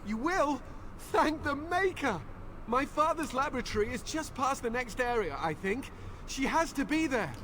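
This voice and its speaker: A man's voice: extremely high pitch, animated, normal speed, fairly bright tone, a little hiss or hum. An actor playing an anxious, bitter middle-aged village man pleading earnestly for his daughter in a video game. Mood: grateful, hopeful. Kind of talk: video game dialogue acting.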